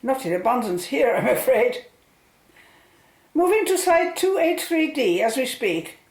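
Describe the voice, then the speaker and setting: A woman acting an excited, upbeat polar scientist in a radio play. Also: sits high, measured pace, echoey room. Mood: upbeat, focused.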